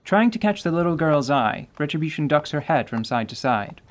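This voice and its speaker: A narrator describing action in a fantasy radio drama with even, descriptive delivery. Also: mid-range voice, normal speed.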